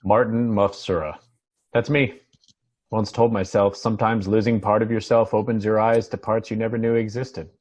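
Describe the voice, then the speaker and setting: Male actor as earnest, troubled doctor for TV drama; lowish husky voice, understated, reflective reading. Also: flat delivery, moderate pace, darkish tone, highs cut off, close to an everyday voice. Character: Muff, earnest, troubled doctor seeking a new home. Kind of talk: table read of a TV drama.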